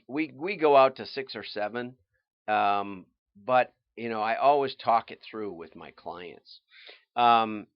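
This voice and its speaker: A man hosting a livestream, explaining things informally in a halting, think-aloud way with restarts and asides. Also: medium pitch, no echo, lo-fi sound. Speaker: livestream host and marketing consultant.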